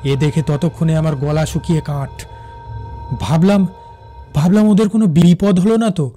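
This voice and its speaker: A railway officer played by a man tells his own ghost story for a horror cartoon. His fairly high voice is suspenseful and halting, repeating words for dramatic effect. Music runs clearly behind him. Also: fairly even intonation, dark tone, lightly acted. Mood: fearful, suspenseful.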